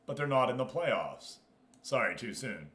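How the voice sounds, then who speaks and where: A man's voice: medium pitch, very animated, a little slow, a bit bright. A man hosting a gaming livestream, chatting informally and commenting as he talks straight to his group. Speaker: livestream host running an online football management game league. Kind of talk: casual gaming stream commentary. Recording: echoey, roomy sound.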